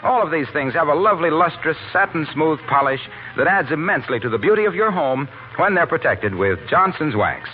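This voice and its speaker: A man's voice: on the high side, expressive, fairly quick, hardly any pauses, narrow, tinny audio, soft background music. A man announcing in polished, persuasive 1940s radio style from a script. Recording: thin, band-limited vintage radio recording.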